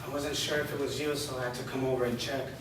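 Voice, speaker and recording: A man's voice: mid-range voice, flat delivery, fast, natural voice. A man reading an easygoing young man. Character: young man, earnest and reassuring. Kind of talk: staged play reading. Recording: echoey room, far mic, hiss.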